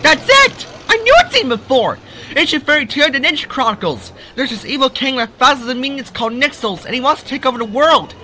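A man voicing small, excitable cartoon monsters in a fan dub, exclaiming theatrically. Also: very high voice, a little fast, slight background noise, heavily acted. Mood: excited, alarmed. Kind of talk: fan-dubbed cartoon acting.

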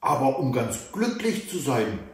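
A man voicing a wicked, insinuating old woman who flatters and coaxes in a storybook tale. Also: mid-range voice, measured pace. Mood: flattering, coaxing. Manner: coaxing, insinuating. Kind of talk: storybook read-aloud. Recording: very echoey room.

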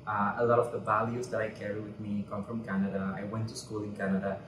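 A man's voice: on the low side, quick pace, a little hiss or hum. A man in his twenties from Cuba, talking earnestly as an interview guest. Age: 20s. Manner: earnest and animated, gestures while talking. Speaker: interview guest, pageant candidate. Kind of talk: casual interview chat. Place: bright indoor room. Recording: roomy echo, mic at a distance.

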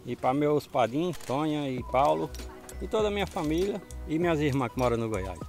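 Northeastern Brazilian man with creaky voice. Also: on the high side, lively intonation, fairly quick, darkish tone. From Ceará, northeastern Brazil. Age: middle-aged. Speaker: interviewee, small farmer. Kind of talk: casual outdoor interview. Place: outdoors on a farm. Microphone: handheld mic with furry windscreen. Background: music.

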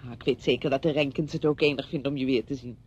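An actress in a Dutch radio play, playing a brisk, patronising woman who fusses chattily over a widowed friend. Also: fairly even intonation, darkish tone. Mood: brisk, chatty. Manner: chatty, fussing, condescending. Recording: dull radio recording with rumbling noise.

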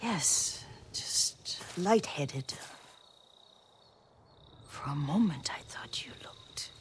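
An elderly female servant in a game drama, motherly and deferential. Her low voice is soft and unhurried, sometimes breathy, with an expressive rise and fall. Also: in a whisper, exaggerated voice. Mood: shaken. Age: older. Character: elderly loyal female household retainer, gentle, motherly. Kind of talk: dramatic video game acting.

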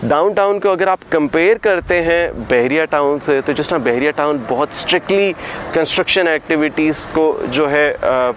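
A man in his thirties with a Pakistani Urdu accent, a real estate advisor giving a steady, explanatory walkthrough of a property site. He speaks outdoors on a lapel mic. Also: a little high, moderate pace, slight background noise. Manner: steady explanatory, gestures with hands. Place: outdoor hilltop overlooking construction site.

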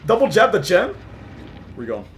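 A young man with a hoarse, worn voice pitched a little high, jumping between low mutters and sudden shouts as he plays. He swears freely. Game sounds run clearly underneath him. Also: wildly swooping pitch, moderate pace, slight background noise. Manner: swings from muttering to yelling, swears a lot. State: hoarse, losing his voice. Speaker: gaming YouTuber. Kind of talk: excited live gameplay commentary.

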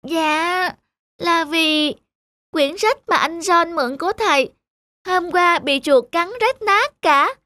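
A woman's voice: very high-pitched, slow pace, sharp tone, dry recording, full character voice. A woman voicing an anxious, devoted little sister in a children's cartoon dub, with a fretful, pleading manner. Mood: worried.

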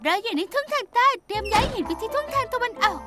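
A very high bubbly pony girl. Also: fast. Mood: wistful. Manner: bubbly, excitable. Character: cheerful young pink party pony mare. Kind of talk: Thai cartoon dub. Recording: music bed under the voice.

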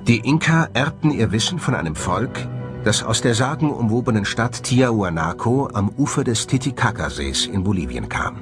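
A man's voice: lowish voice, very animated, moderate pace, over music. A man presenting a documentary in scripted narration.

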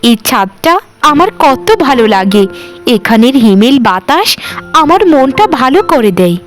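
High female voice, woman acting as young cartoon housemaid, outspoken, caring, very animated intonation. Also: moderate pace, soft background music. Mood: gentle.

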